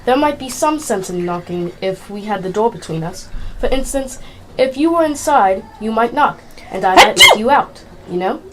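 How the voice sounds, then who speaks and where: Casting: argumentative, indifferent doorkeeper female amateur, fairly high, radio play with faint noise. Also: expressive, normal speed, lightly acted. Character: stubborn, illogical, indifferent doorkeeper creature.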